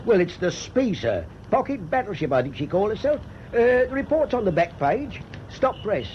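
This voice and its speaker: A man's voice: sits high, very animated, normal speed. A man playing a chatty, deferential working-class London newspaper seller in a radio play. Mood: chatty, curious. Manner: colloquial, deferential, inquisitive. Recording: dull lo-fi radio recording, faint noise.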